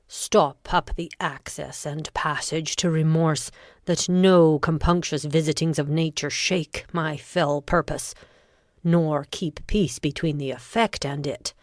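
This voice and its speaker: A woman playing a ruthless, ambitious noblewoman in a deliberate, intense Shakespearean audiobook performance. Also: low-pitched, fairly even intonation, dry recording, studio quality. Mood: dark invocation. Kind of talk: dramatic Shakespearean audiobook reading.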